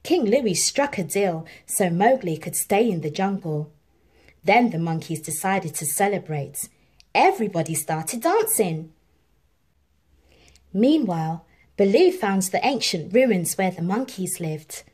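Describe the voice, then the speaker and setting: A woman reads a children's story aloud in an expressive, scripted style. Her pitch moves in lively swings, her pace is slow and measured, and her voice has a husky edge. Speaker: storybook narrator.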